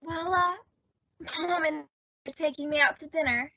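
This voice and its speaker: A woman's voice: high-pitched, steady tone, moderate pace, pushed and bright, no room sound, dull audio. A woman playing a dramatic, lovestruck and earnest teenage girl in an old-time radio comedy. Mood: lovesick, regretful.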